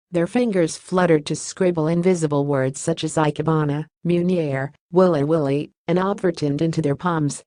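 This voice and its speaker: A woman reading a news article aloud in a steady, even manner. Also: low-pitched, no room sound, clean studio sound. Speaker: narrator.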